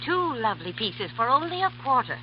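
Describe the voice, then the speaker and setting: A woman's voice: on the high side, sing-song, brisk, slight background noise. An actress playing a cheerful mother in a 1930s radio skit. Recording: dull 1930s radio broadcast.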